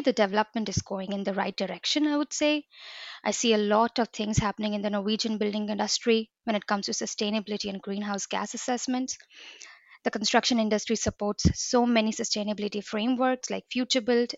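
Gravelly-voiced woman from India. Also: fairly high pitch, no echo, dull audio. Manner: fluent, earnest and explanatory. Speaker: guest, business developer. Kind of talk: podcast interview.

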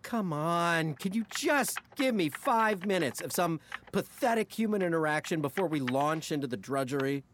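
A man's voice: fairly high pitch, lively intonation, moderate pace, fairly bright tone, slight background noise. A man acting as a cynical, jaded ad man in a comedic audio drama, melodramatic and profane as he needles his best friend. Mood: sardonic, needling.

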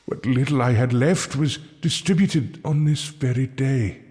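An actor playing a kindly medieval English friar in an audio drama, speaking gently and earnestly in formal old-fashioned phrases. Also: male voice, mid-range voice, measured pace, close to an everyday voice. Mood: gentle, concerned. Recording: echoey hall reverb with music.

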